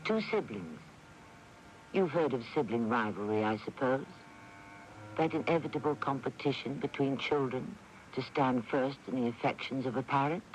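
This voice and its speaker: An American man hosting a radio mystery show, giving a measured, ominous scripted introduction on a hissy 1980s AM broadcast. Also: medium pitch, poor audio. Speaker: host of a radio mystery anthology.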